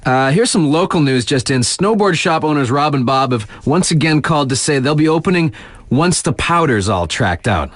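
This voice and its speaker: Animated, sardonic radio DJ. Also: male voice, a little high, moderate pace, dry recording. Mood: breezy, wry. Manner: wry, sarcastic, slangy and conversational. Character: laid-back, sardonic young male mountain-town radio DJ. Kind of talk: scripted local radio news patter.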